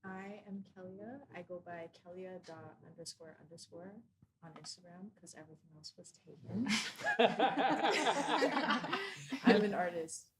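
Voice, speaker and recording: Femme de Toronto, débit lent et hésitant, voix un peu grave, bruit de fond marqué. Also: female voice, fairly low pitch, very noisy. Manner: slow, hesitant. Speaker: artist, panel guest.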